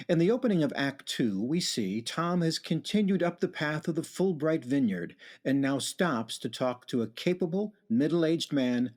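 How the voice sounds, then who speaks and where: A man narrating and hosting a community radio play in a measured, wry storytelling manner. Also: on the high side, animated, darkish tone. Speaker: narrator and show host. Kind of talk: scripted radio drama narration.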